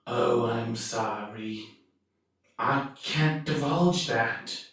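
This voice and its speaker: Distant and echoey, a polite but evasive ship's crewman, played by a man speaks slowly and formally in a radio play. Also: medium pitch, fairly bright tone. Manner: formal, apologetic, measured. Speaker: actor. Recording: distant, echoey, roomy sound.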